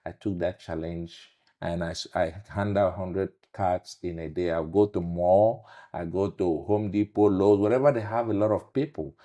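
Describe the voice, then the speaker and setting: A man's voice: sits low, steady tone, moderate pace, dark tone. A man with a Nigerian accent, a realtor answering interview questions with earnest, emphatic storytelling.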